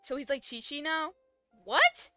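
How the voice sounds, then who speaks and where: A woman's voice: high voice, big pitch swings, unhurried. A woman gamer giving casual, slangy live reactions, blunt and often incredulous. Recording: game music behind commentary.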